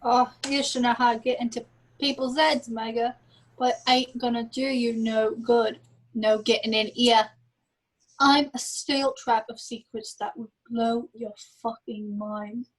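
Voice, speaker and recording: A gloating musical villain acted out by a woman with a high voice, savoring every threat at a slow, deliberate pace. The torturer is theatrical and taunting, drifting between speech and song. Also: steady tone, rough recording. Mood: cocky threatening. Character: gloating sadistic torturer who relishes cruelty, singing villain. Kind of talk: amateur script reading with sung villain numbers.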